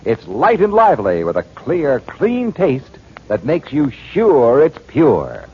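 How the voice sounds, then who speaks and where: Man, scripted vintage 1940s announcer, husky, lively intonation, dull old radio sound. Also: medium pitch, slow. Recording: muffled 1940s radio recording.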